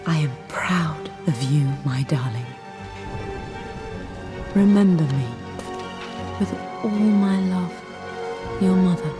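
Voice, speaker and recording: An actress playing a loving British mother, gentle and measured, voicing a tender farewell letter in a video game cutscene. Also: fairly low pitch, over music.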